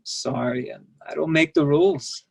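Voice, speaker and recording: An actor in a staged play reading, playing a resigned, candid immigrant massage therapist who speaks in clipped, non-native phrasing, matter-of-factly. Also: male voice, on the high side, wildly swooping pitch, a little slow, vocal fry, heavily acted. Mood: resigned, explaining.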